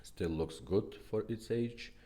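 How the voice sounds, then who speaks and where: A man who is not a native English speaker gives a casual hands-on product demonstration as a restorer, explaining plainly and haltingly step by step. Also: fairly low pitch, dark tone. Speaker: car radio restorer presenting a product demo.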